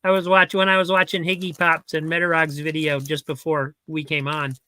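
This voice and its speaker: A man with a high, Muppet-like voice chatting casually, explaining with lots of "um"s. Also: little pitch movement, fairly quick, clear, resonant tone. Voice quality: high, bright voice, told it sounds like a Muppet. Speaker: comic seller and regular on the show. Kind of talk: casual group chat on a livestream.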